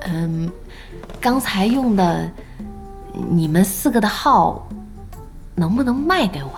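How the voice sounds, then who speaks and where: An actress playing a brisk, spirited internet cafe owner in an audio drama. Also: female voice, mid-range voice, expressive, a little mellow, faint music behind. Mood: persuasive. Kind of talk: Chinese audio drama acting.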